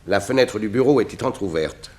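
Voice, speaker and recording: An actor playing a suave, defiant murder suspect in a 1960s French radio crime serial, with formal, defensive, theatrical delivery. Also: male voice, on the low side, expressive, fairly quick, a little mellow, close to an everyday voice. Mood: guarded, polite.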